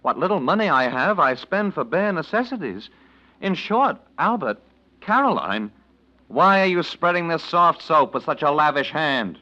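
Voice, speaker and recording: Florid, sardonic lodger, swooping pitch. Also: male voice, very animated, normal speed, sharp tone. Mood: puzzled, suspicious. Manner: florid, theatrical, mocking. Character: witty, penniless male lodger, grandiloquent and sardonic. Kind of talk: 1940s radio drama acting. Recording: dull vintage radio recording.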